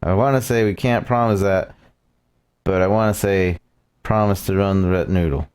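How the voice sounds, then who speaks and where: A man's voice: sits low, normal speed, a little mellow, clear, resonant tone. A man streaming a video game, casually reading out dialogue and commenting offhand. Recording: game music behind the voice.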